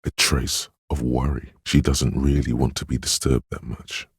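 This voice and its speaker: An actor voicing a game's inner voice of empathy, a grave, compassionate narrator with a husky, gravelly voice and a measured, solemn, intimate delivery. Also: male voice, very deep voice, little pitch movement, no echo, studio quality, heavily acted. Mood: gentle, concerned. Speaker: game narrator. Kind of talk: scripted second-person game narration.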